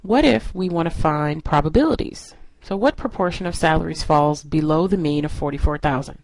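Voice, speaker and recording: A woman's voice: sits low, brisk, a little mellow, slight background noise, low-quality recording. A woman instructor narrating a lesson in an explanatory, step-by-step way. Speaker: statistics instructor.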